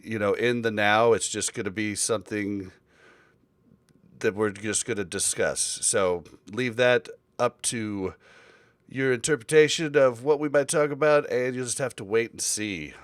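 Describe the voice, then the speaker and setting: A man's voice: on the low side, measured pace, no room sound. A man hosting a casual podcast chat, rambling along with frequent fillers and restarts. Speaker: podcast host.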